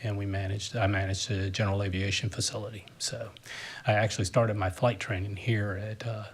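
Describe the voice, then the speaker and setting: Middle-aged guy from south carolina, polite and formal, lots of uh and restarts, lowish voice. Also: flat delivery, brisk, slightly rough. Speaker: airport general manager. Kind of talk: formal remarks to a council.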